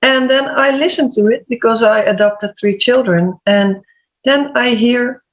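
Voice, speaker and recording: A woman's voice: a little high, measured pace, rough recording. Earnest Dutch-accented woman. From Netherlands. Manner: earnest, with um and uh fillers. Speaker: panel guest. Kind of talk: online panel discussion.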